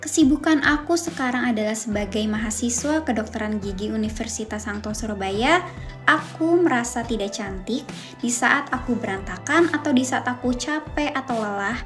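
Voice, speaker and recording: A woman's voice: fairly high pitch, normal speed, soft background music. A 20-year-old woman introducing herself and giving a personal testimonial. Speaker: dental student.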